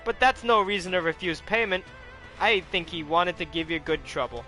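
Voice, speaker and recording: A man puts on a stern middle-aged farmer uncle who scolds in clipped, raised bursts. The voice sits high and bright, pitched well above his plain reading, with faint music under the storybook reading. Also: animated, a little fast. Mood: grumbling. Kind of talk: audiobook character voice.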